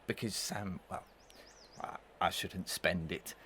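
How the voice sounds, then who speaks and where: A man acts out a simple, humble villager in an audio melodrama, stammering and rambling slowly in a low voice. There is clear noise behind him. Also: fairly even intonation, bright, forceful tone, heavily acted. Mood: nervous, muddled. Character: hesitant, simple village male witness, humble and muddled. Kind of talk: melodrama audio play acting.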